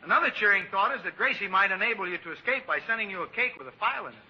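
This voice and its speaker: A man's voice: high voice, lively intonation, rapid-fire, pushed and bright, full character voice. A man playing a tactless, morbidly cheerful family friend with genteel manners in 1940s radio comedy. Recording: very noisy, muffled old radio recording.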